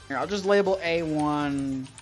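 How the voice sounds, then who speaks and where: A man's voice: fairly high pitch, a little slow. A man co-hosting a livestream in casual chat, thinking aloud hesitantly into a close microphone. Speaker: live streamer co-hosting. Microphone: close XLR microphone on a stand. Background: music and keyboard typing.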